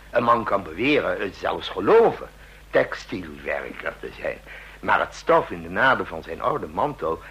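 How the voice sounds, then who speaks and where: A man's voice: lowish voice, fairly bright tone, slightly rough, heavily acted, rough recording. Een man die in een hoorspel een babbelzieke, scherpzinnige oude tandarts speelt, bedachtzaam en licht dreigend, vol gemompelde herhalingen. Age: older. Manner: deliberate, musing, with murmured repeats and rhetorical questions. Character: chatty old dentist, shrewd, musing, quietly menacing. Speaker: dentist. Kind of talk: radio drama acting.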